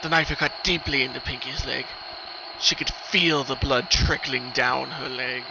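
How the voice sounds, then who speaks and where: A man with a mid-pitched voice narrates a horror story in a dramatic reading. His delivery is scripted narration. Clear noise runs behind his voice. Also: fairly even intonation, moderate pace. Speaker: narrator of horror fan fiction. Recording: noisy recording, rumbling ambient background.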